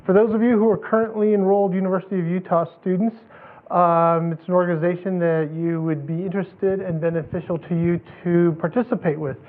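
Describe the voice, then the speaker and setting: A man emceeing a workshop, introducing speakers in a measured, polite way. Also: high voice, little pitch movement. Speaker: event emcee. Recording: phone-like line with faint noise.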